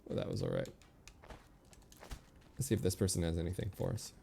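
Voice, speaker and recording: A man giving casual video game commentary, thinking aloud in a relaxed, muttering way as he makes decisions. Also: low-pitched, fairly quick, creaky, a little hiss or hum.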